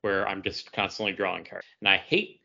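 A man's voice: low voice, little pitch movement, a little fast, gravelly, sharp tone. A man on a podcast panel, chatting casually about a hobby. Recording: dull lo-fi audio.

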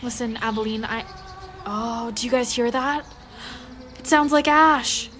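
A woman's voice: a little high, measured pace, background noise, close to an everyday voice. A woman acting in an audio drama as a brash, playful, crude young female werewolf. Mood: excited, urgent.

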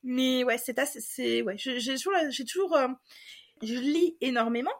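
A young woman from France speaks as a podcast guest in a high voice. She talks through her story haltingly, with lots of fillers and false starts. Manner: hesitant, many fillers and restarts. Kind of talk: casual personal testimony.

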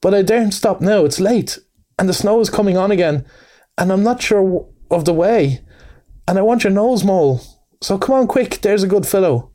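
A man voicing a cheerful, brisk male water rat who hurries his friend along. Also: high-pitched, animated, mellow, pitched above the performer's own voice. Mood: hurried. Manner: brisk and hearty.

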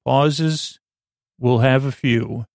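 Creaky, rambling sleep-podcast man. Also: medium pitch, very slow, no echo, studio quality. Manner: rambling, full of filler words and tangents; soft, lulling delivery. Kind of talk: meandering bedtime monologue.

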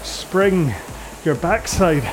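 A man's voice: high-pitched, drawn-out words, low-quality recording. A man coaching a rowing workout in a casual, rambling, jokey and conversational way. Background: music.

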